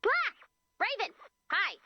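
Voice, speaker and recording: High, lively, quippy game heroine. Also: female voice, slow pace, no room sound, lo-fi sound, exaggerated voice. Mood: jumpy, sarcastic. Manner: chatty, sarcastic, expressive. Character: young, perky, quippy female camp leader, wryly exasperated. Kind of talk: video game character voice lines.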